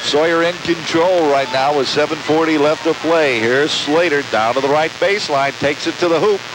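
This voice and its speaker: A fairly high-voiced male radio announcer calls a basketball game live. He follows the action in short, clipped phrases. The old broadcast recording is very noisy. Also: normal speed. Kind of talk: live basketball play-by-play commentary. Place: courtside in an indoor sports dome.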